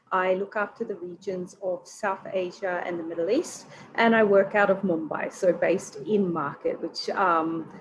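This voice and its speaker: A woman, a university regional recruitment manager, speaking in a measured, professional way on a video call webinar panel. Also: mid-range voice, a little hiss or hum, low-quality recording.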